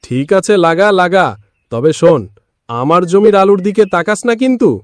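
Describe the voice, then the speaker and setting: Man as high, taunting village neighbour. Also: expressive, moderate pace, no room sound, clean studio sound. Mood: mocking. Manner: loud, taunting. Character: mocking, boastful village neighbour farmer. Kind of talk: Bengali cartoon voice acting.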